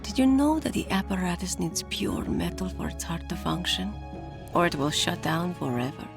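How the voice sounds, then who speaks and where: A woman playing a gentle, reproachful estranged partner in a game cutscene, speaking in a soft, measured, wistful way. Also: fairly low pitch, sing-song, creaky, music in the background. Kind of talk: dramatic game cutscene dialogue.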